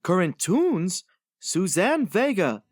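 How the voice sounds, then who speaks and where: A woman's voice: on the low side, very animated, takes it slowly, darkish tone, heavily acted. A woman voicing a gloomy, pedantic oddball blogger in an earnest, fussy, theatrical dramatic reading.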